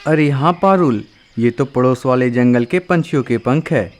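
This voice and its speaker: A clever cartoon crow who runs a hotel in the jungle, voiced by a man. He talks in a calm, agreeable way at an ordinary middle pitch, explaining what he has noticed. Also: normal speed, close to an everyday voice. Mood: agreeing.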